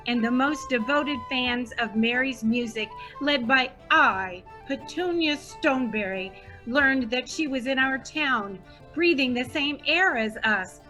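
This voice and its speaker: A woman's voice: sits high, unhurried, bright, forceful tone, faint music behind, low-quality recording. A woman playing a devoted, gushing superfan who narrates a radio drama in a grandly earnest way. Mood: earnest, excited.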